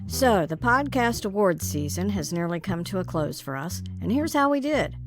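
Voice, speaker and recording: Woman announcer over guitar music. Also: lowish voice. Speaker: podcast creator and announcer. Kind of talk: scripted closing announcements.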